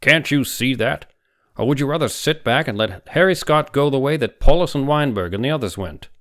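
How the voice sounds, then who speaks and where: Guy doing a big bossy older doctor in an audiobook, booming, insistent, really forceful. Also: animated, brisk, natural voice. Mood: angry, urgent. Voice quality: heavy, booming. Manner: emphatic, insistent. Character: huge domineering older male doctor, ruthless and forceful. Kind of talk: audiobook character dialogue.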